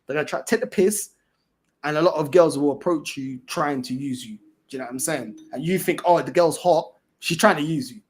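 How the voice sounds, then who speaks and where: A man's voice: a little high, brisk, husky. A man with a London accent chatting casually as a livestream host, in a slangy, repetitive, emphatic way. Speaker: fan channel host.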